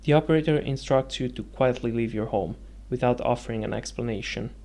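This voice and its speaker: A man's voice: mid-range voice, brisk, vocal fry, mellow. A man narrating a scripted video essay with dramatic, suspenseful storytelling phrasing. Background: music.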